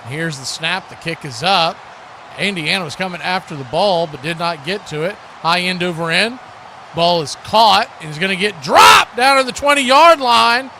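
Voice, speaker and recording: A man's voice: sits high, animated, normal speed, background noise. An American man giving live play-by-play football commentary as host, in short, clipped present-tense calls.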